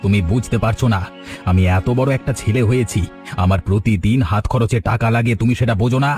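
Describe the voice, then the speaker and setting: A man's voice: lowish voice, fast talker, darkish tone, faint music behind, close to an everyday voice. A man voicing a selfish, defiant grown younger brother in a village cartoon, whining and demanding in an insolent way. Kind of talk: melodramatic cartoon voice acting.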